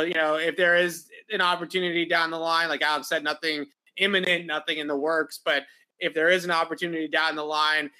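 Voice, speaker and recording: A man's voice: a little high, pushed and bright. A young man hosting a podcast, rambling in casual chat with a nasal voice and many fillers. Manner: rambling, filler-heavy, repeats words. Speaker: podcast host.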